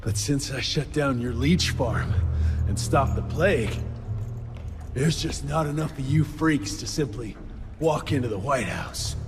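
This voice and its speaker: A grim vampire hunter of the Wild West, played by a man in a game cutscene. The voice sits at a middling pitch, terse and commanding, under loud music. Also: lively intonation, unhurried, close to an everyday voice. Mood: grim, threatening. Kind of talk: video game cutscene acting.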